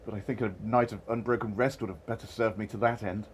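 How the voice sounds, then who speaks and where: A man's voice: fairly low pitch, steady tone, fast talker, a little hiss or hum, natural voice. A man playing a wary, complaining old miser in an audio drama. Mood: grumbling.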